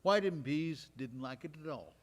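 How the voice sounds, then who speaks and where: Man playing folksy war veteran, higher-pitched voice. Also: expressive, moderate pace, close to an everyday voice. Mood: reminiscing, wry. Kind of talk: live stage storytelling monologue, conversational. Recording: live stage recording in recreation hall.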